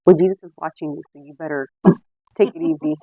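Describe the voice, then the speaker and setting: A woman's voice: low-pitched, quick pace, gravelly, no echo, muffled recording. A woman in her late thirties, slightly buzzed, chatting casually with friends and acting out what people said as she tells stories. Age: late 30s. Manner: animated storytelling with acted-out quotes, lots of "like". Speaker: podcast co-host. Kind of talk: casual boozy chat among friends.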